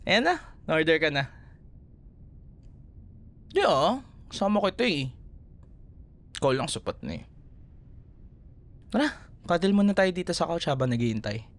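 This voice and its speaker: A man's voice: a little high, very animated, moderate pace, long pauses. A man with a Filipino accent playing an affectionate, playful young boyfriend comforting a scared partner in an intimate ASMR roleplay. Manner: teasing, tender, chuckles often. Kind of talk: ASMR roleplay, intimate one-sided dialogue.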